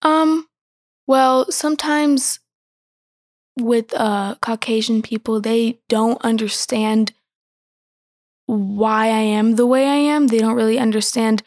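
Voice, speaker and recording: A teenage girl with a fairly high voice, recorded close and dry. She answers slowly and thoughtfully, pausing often. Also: steady tone, a little mellow, dry recording. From born in Ecuador, raised in the United States. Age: teens. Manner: thoughtful, hesitant, many fillers. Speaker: guest. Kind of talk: interview answers.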